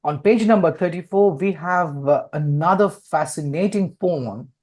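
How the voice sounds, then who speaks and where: A man hosting a literary interview in a deliberate way, often repeating his phrases. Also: a little high, darkish tone. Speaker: host, poet and publisher interviewing an author.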